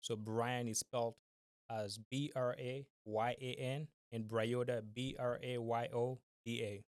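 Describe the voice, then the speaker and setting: A young man from Kenya speaks as a podcast guest, polite and measured, with a formal courtesy. His pitch stays level and flat. The recording is close and dry. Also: clear, resonant tone, no room sound. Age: 20s. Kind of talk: casual interview.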